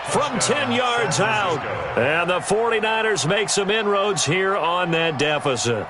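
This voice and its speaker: Male play-by-play announcer, slightly high voice, polished broadcast delivery, football video game, very noisy stadium backdrop. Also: lively intonation, unhurried. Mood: focused, announcing. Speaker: play-by-play sports commentator. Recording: loud stadium game noise behind. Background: loud stadium ambience.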